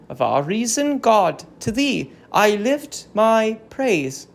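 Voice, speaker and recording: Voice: medium pitch, voice pushed up. A performer voicing a grave, grieving old Trojan queen, declaiming solemn archaic verse in a dramatic tragedy reading. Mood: reverent.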